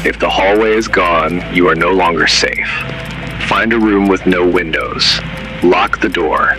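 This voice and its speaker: A man narrating analog horror in the grave, measured manner of an emergency announcer. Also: low voice. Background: music.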